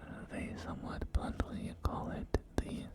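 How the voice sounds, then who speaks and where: Wasteland realtor male performer, courteous and salesy, slow creaky whisper for ASMR roleplay, music underneath. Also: close to an everyday voice. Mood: smooth, suggestive. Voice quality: breathy whisper. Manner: courteous, gently salesy, hesitant pauses. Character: polite, salesy post-apocalyptic real estate agent. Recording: soft game music behind. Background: music and game audio.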